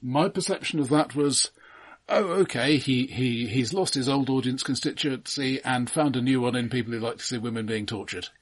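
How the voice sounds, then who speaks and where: Male podcast co-host, husky mid-pitched voice, halting starts with repeated words, casual film talk. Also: no echo.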